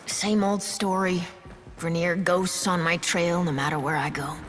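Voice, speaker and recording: A woman's voice: lowish voice, measured pace, close to an everyday voice. An actress voicing a wry, battle-weary woman fighter haunted by her past, in video game dialogue. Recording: game music loud behind the voice. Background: loud game music.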